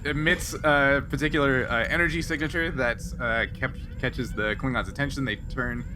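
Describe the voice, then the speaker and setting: A man's voice: medium pitch, steady tone, moderate pace. A man acting as game master, narrating a tabletop game casually with a joking, teasing manner. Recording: clearly noisy background hum.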